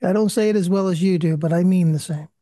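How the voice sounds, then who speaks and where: A man's voice: sits high, quick pace, warm tone, no echo, studio quality. A man hosting a live-streamed prayer series, leading a prayer and then chatting in a friendly, rambling, self-deprecating way. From US Northeast. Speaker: host. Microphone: video call.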